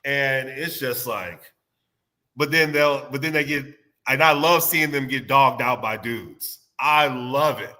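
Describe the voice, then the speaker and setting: Stuffy guy on a podcast, bright punchy voice, rambles and keeps restarting. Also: mid-range voice, takes it slowly. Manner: rambling, repeats words and restarts sentences. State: stuffy nose, under the weather. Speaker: podcast guest. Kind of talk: casual podcast chat.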